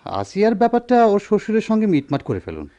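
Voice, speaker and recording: Mellow, earnest village doctor, Bangladeshi accent. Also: male voice, high voice, huge pitch swings, dull audio, full character voice. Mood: earnest, concerned. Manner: measured, formal, educated diction. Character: earnest, conscience-stricken village doctor, educated man. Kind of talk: Bengali radio drama acting.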